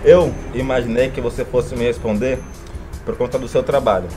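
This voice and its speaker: A man's voice: medium pitch, fairly even intonation, normal speed, over music. A man in his twenties with a São Paulo accent, chatting casually as the host of an interview. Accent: São Paulo Brazilian. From Brazil, São Paulo. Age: 20s.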